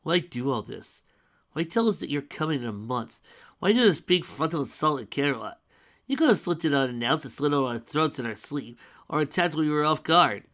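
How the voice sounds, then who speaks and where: A man's voice: a little high, animated, fairly quick, fairly bright tone, voice pushed up. A man voicing a tired, blunt young male dragon who grumbles and sighs. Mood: earnest. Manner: blunt, exasperated.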